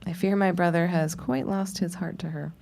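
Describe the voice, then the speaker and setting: An actress playing a wry, world-weary shopkeeper's daughter in a period audio drama, speaking in a dry, sardonic way. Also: female voice, on the low side, fairly even intonation, moderate pace, gravelly, dark tone. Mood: polite, curious.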